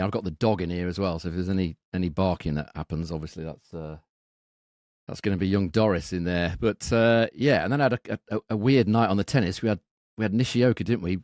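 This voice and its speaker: Co-host with a very low voice, halting and repetitive, dry recording. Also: male voice, a little mellow, no echo. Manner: hesitant, repeats words, thinks aloud. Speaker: podcast co-host. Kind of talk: casual betting analysis.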